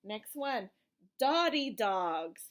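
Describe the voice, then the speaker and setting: Female children's read-aloud teacher, animated swoopy intonation, unhurried, encouraging question-and-answer delivery. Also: on the high side. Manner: simple, encouraging prompts with questions and slow, emphatic reading. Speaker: teacher reading a picture book to young children.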